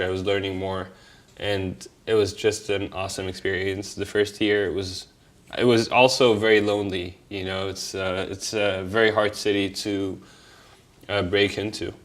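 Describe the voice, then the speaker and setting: A young man from Egypt telling his life story in a casual, halting way, with many fillers and restarts and offhand, candid swearing. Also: lowish voice, a bit bright.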